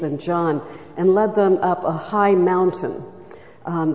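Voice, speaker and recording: A soft-spoken woman teaching at a church retreat, reflective and unhurried with the odd filler. Her voice is low and mellow, and it rings with a long echo through a large hall. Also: a little hiss or hum. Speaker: retreat speaker. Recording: echoey hall recording.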